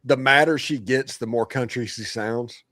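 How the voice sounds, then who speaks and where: A man from Tennessee co-hosting a casual sports podcast, telling stories quickly. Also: mid-range voice, bright, forceful tone, dry recording, clean studio sound. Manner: quick, storytelling. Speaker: podcast co-host.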